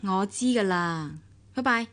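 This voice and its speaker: A woman's voice: medium pitch, a little slow, fairly bright tone, slight background noise, close to an everyday voice. An actress playing a sociable, reflective well-off woman in a radio drama, with a Hong Kong Cantonese accent. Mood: weary. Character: well-off, sociable, somewhat wistful woman narrating her romance.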